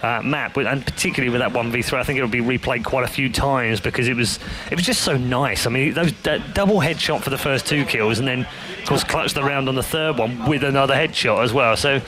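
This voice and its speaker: A man commentating a live esports match, heavy on stats and building to excited play-by-play calls. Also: mid-range voice, brisk.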